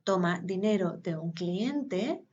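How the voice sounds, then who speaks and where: A woman, interpreting a conference talk live, hesitant with pauses and filler sounds. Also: fairly low pitch, expressive, darkish tone, slightly rough, lo-fi sound.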